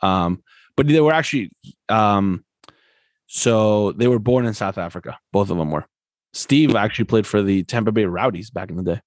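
A man co-hosting a casual sports podcast, relaxed and chatty, laughing often and filling pauses with "um". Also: lowish voice, no echo. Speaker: podcast co-host.

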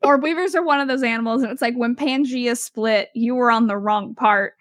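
A young woman co-hosting a podcast, joking around and reacting quickly to her co-host's story. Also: on the high side, dry recording, studio quality. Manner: quick reactive interjections, playful. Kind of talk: casual chat.

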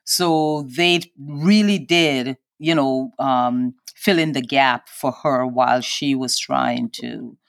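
A woman in her sixties from Guyana and Trinidad, a guest speaking in a hesitant, reflective way, pausing often as she recalls. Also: extremely low pitch, gravelly, dry recording, clean studio sound. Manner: hesitant, reflective, many pauses and fillers. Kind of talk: personal interview.

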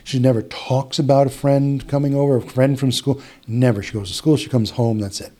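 A man's voice: mid-range voice, steady tone, brisk, dark tone. A middle-aged man with an Upper Midwest American accent, a hypnotist telling a long story in casual interview chat, with restarts and quoted dialogue. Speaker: hypnotist guest.

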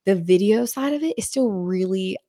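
A woman's voice: medium pitch, animated, moderate pace, dark tone, dry recording, studio quality. A woman hosting a podcast in casual chat, nasal from a cold, bubbly and laughing often. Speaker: podcast host. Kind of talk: casual conversational interview.

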